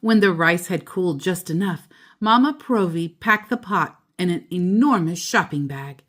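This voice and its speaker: A woman storyteller reading a children's picture book aloud, deliberately and with expressive emphasis on key words. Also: lowish voice.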